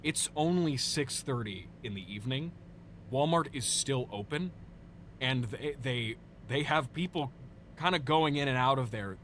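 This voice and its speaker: A man playing an exasperated, reasonable superhero interrogator in an improvised comedy sketch, deadpan, halting and conversational. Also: mid-range voice, a little hiss or hum. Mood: questioning.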